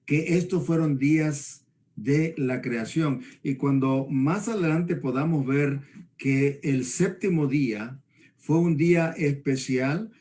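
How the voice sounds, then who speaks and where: Slow-paced man teaching. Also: fairly high pitch, mellow. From El Salvador. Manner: didactic, often seeks agreement with tag questions. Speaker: pastor discussing the Bible. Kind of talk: conversational Bible teaching.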